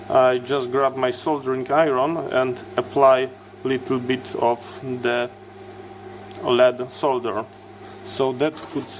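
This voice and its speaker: Slow-talking man narrating a repair, noisy background. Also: mid-range voice. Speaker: electronics repair video host. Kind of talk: hands-on tutorial commentary.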